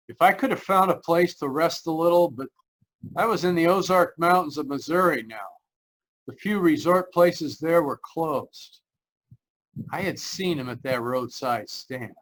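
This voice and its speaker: A man's voice: on the high side, fairly bright tone, rough recording. An actor playing a haunted, anxious driver narrating his road trip in old-time radio style, tense and earnest. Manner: tense, earnest, dramatic storytelling. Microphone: video call. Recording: live video-call performance.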